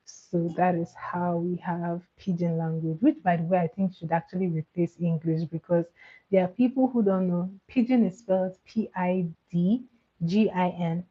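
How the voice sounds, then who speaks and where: Chatty Nigerian woman, low voice, phone-thin. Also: moderate pace, lo-fi sound. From eastern Nigeria. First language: Igbo. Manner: chatty and animated, with filler words and frequent laughs. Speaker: livestream host. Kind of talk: casual live chat with viewers.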